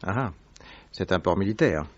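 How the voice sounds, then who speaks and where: An actor playing a sharp, probing male detective in a vintage radio drama, asking crisp, pointed questions. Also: sits low, animated, measured pace. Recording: dull lo-fi vintage radio recording.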